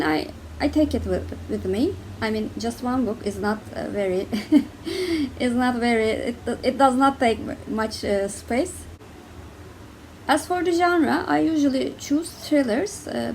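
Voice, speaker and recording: A woman's voice: high-pitched, lively intonation, takes it slowly, creaky, darkish tone, a little hiss or hum, poor audio. A young woman with a Turkish accent, talking casually to camera about books, hesitant with many fillers and restarts. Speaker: book vlogger.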